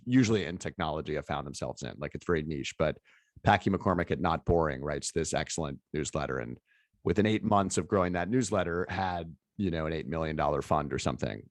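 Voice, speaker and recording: A man's voice: sits low, no room sound. A man interviewing in a casual, rambling conversational style, circling his way toward each point. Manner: rambling, hedging phrasing with frequent "like". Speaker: interviewer, event host.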